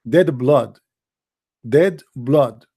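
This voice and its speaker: A man's voice: medium pitch, very slow, mellow, slightly rough. A middle-aged man whose first language is Arabic, hosting a call-in debate, insistent and lecturing, often repeating himself. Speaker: host.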